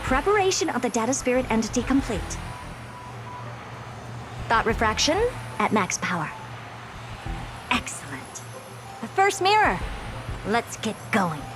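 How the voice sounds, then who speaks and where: Game role: imperious genius woman, high and very animated, unhurried, music underneath. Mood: commanding. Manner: imperious, theatrical, self-important. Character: Madam Herta, haughty, impatient genius woman scholar. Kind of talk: video game voice acting.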